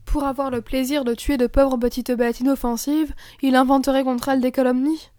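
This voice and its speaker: A woman voicing a composed mother in a storybook, calm and gently reproving. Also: high-pitched, fairly quick, no echo, close to an everyday voice. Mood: gentle.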